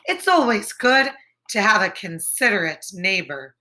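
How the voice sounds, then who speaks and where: A woman teacher reading a children's picture book aloud, expressive and deliberate, speaking directly to her young listeners. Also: mid-range voice.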